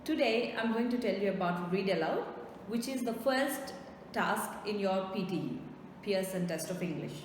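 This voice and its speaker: A woman's voice: mid-range voice, animated, normal speed. A woman test-prep trainer giving an instructional lesson, didactic, repeating key points with emphasis and posing rhetorical questions. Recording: very echoey reverberant room, faint noise.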